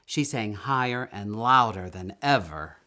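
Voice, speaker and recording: A man reading a children's story aloud in an expressive, emphatic storytelling manner, drawing out words. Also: fairly low pitch, measured pace. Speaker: storytime reader.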